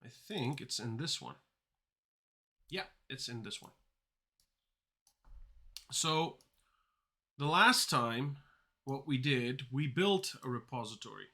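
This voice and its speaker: A man's voice: mid-range voice, lively intonation, slow pace, pauses often, bright, forceful tone, husky. A man whose first languages are Dutch and Turkish, chatting casually on a coding livestream and answering chat questions as he goes. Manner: rambling, answers chat questions mid-talk. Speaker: streamer learning to code.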